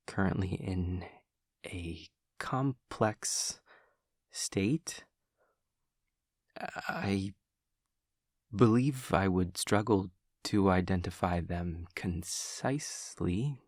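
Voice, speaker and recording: A man voicing an awkward, earnest demon classmate in an audio roleplay, speaking in halting, formal, overly literal phrases with a husky voice. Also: low voice, no room sound, studio quality, heavily acted. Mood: literal, matter-of-fact. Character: awkward, earnest male demon classmate who senses emotions. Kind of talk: scripted audio roleplay to the listener.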